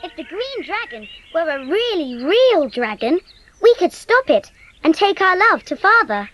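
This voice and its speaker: An imaginative young British girl in a storybook dramatisation. Her voice is extremely high and lilts up and down. She sounds earnest, eager and full of wonder. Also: big pitch swings, unhurried, a bit bright, soft background music, full character voice. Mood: earnest, hopeful.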